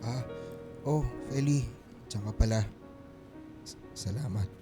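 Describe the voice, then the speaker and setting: Man acting heartbroken Filipino husband, halting and emotional. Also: medium pitch, warm tone, natural voice. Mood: sad, resigned. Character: heartbroken, self-sacrificing husband and father pleading with his wife. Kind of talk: Tagalog radio drama acting. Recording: music under the voice.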